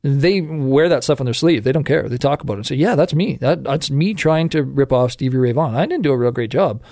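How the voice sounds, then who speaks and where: A man's voice: mid-range voice, a little fast, a little mellow, no room sound, clean studio sound. A Canadian man co-hosting a casual music podcast, sharing trivia and laughing often. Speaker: podcast co-host.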